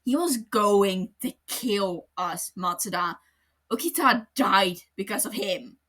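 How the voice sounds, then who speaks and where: Woman reading an angry cop guy, slow and loud, breathy voice, scornful. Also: mid-range voice, expressive. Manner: furious, scornful, shouting. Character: angry blunt adult male detective. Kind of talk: podfic character reading.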